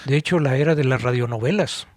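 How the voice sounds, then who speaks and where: A man's voice: mid-range voice, fast, no echo. A man with a Mexican accent, hosting a podcast in a casual conversational style. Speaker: podcast host.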